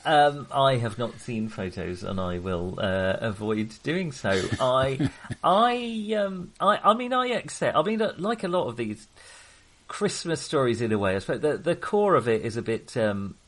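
A man chats casually about films as a podcast co-host. His voice sits at an average pitch, and he thinks aloud, hesitating and breaking off to rephrase as he goes. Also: animated, unhurried, a bit bright. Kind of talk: casual film discussion.

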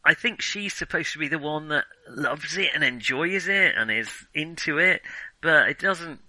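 Bright, forceful man chatting about film. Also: a little high, lively intonation, no room sound, low-quality recording. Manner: hesitant, halting delivery with trailing thoughts. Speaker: film podcast co-host. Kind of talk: casual film discussion.

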